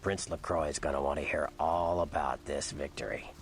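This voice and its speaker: An actor playing a gruff, sardonic male vampire mentor in a video game, with a gravelly voice and slangy, laid-back delivery. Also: a bass voice, fairly even intonation, moderate pace. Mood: intrigued.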